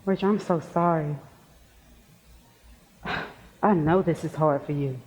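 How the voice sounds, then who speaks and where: A woman's voice: deep voice, slow, darkish tone. A woman playing a concerned, supportive young best friend in a stage play. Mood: concerned, sympathetic. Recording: muffled stage play recording.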